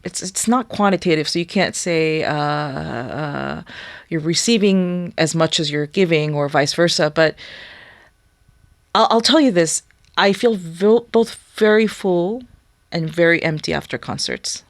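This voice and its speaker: A young woman whose first language is Korean, speaking thoughtfully in a studio interview and often pausing to search for words. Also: on the low side, expressive, dry recording. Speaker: guest musician. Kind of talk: reflective interview talk.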